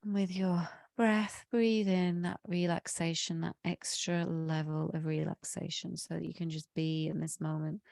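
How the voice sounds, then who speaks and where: Low mellow woman, bit croaky from a cold, slow soothing meditation voice. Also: no room sound, clean studio sound. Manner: slow, soothing, drawn-out phrasing. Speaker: meditation leader. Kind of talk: guided meditation.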